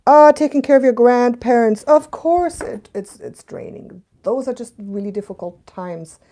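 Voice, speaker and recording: Woman, late forties, mixed Canadian-German-Scottish accent, mellow tone, fairly high voice, chatty, laughs often. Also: lively intonation, normal speed. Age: 40s. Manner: chatty, laughs often, shifts between hushed and bright. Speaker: channel host reading viewer comments. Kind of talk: casual live-stream chat.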